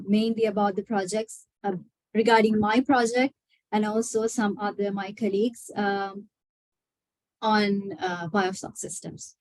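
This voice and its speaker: Young woman, student presenter on a webinar, slow and halting, lots of fillers, dry close sound. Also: a little high, a little mellow, dry recording, rough recording. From Sri Lanka. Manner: hesitant, many filler sounds.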